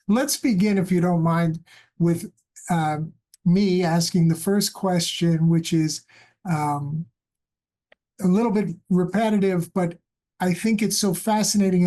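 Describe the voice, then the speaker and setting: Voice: mid-range voice, dark tone. A podcast host introducing a guest and asking long, rambling questions with many fillers. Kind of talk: interview hosting.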